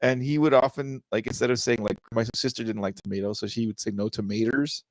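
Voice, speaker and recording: A man's voice: medium pitch, steady tone, fairly quick, no echo, low-quality recording. A man from Southern California co-hosting a podcast, chatting casually and jokingly, with many fillers and restarts. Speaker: podcast co-host. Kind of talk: casual chat.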